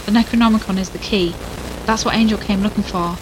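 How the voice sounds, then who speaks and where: A woman's voice: mid-range voice, fairly even intonation, fast talker, noisy background, natural voice. A woman playing a determined, wry investigator in a horror audio drama, plain-spoken and conversational with some urgency. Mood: urgent. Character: determined, wry female investigator racing against doom.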